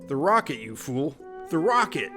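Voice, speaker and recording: A man playing an excitable, laid-back castaway in an audio comedy, exclaiming and swearing in slang. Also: on the high side, normal speed, music in the background. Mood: exasperated. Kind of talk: comedy audio drama acting.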